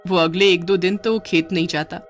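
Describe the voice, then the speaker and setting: A woman's voice: on the low side, fairly even intonation, moderate pace, soft background music. A woman narrating a scripted story as a storyteller.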